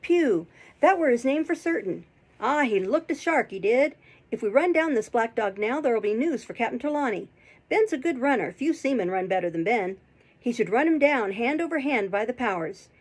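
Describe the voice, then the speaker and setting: A woman's voice: on the high side, expressive, a little fast, husky. A woman voicing a jovial, cunning, blustering one-legged sailor landlord, with hearty, emphatic talk, in a storybook reading. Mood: excited, blustering.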